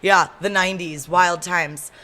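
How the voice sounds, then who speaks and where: A woman in her thirties from Seattle, a country singer-songwriter, chatting frankly in an interview with a deeper voice and lots of ums and you-knows. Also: fairly even intonation, a little slow, sharp tone. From Seattle, USA. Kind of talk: casual interview storytelling.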